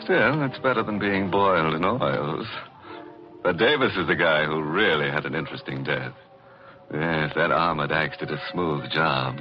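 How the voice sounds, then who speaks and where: Very deep-voiced ghoulish horror host. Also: male voice, moderate pace, faint music behind. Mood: gleefully morbid. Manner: macabre punning, mock-sorrowful. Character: ghoulish, wry male horror-show host. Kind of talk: 1940s radio horror hosting. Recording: muffled old radio recording.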